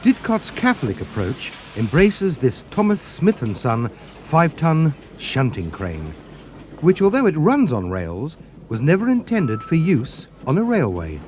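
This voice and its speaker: Lively, animated male narrator. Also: on the high side, measured pace, a little hiss or hum, low-quality recording. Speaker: documentary narrator. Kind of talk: scripted narration.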